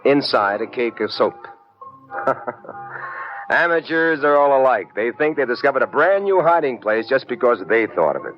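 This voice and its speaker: Wry hard-boiled private eye, old radio. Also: male voice, medium pitch, sing-song, unhurried. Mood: wry, tense narration. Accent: American. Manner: wry, slangy, second-person noir narration. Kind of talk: 1950s radio detective drama acting. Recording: dull vintage radio recording, music underneath.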